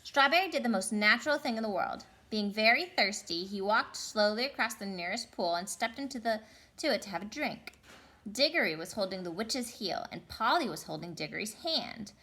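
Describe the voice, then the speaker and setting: A woman storyteller reading a children's novel aloud with expressive, dramatic emphasis. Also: medium pitch, normal speed. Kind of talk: expressive children's audiobook narration.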